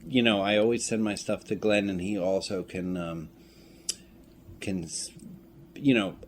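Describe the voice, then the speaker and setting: A man's voice: on the low side, fairly even intonation, a little slow. A man chatting casually in an interview as a creative guest, with a wry, self-deprecating manner. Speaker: filmmaker and audio drama writer being interviewed. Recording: clear background noise, room ambience.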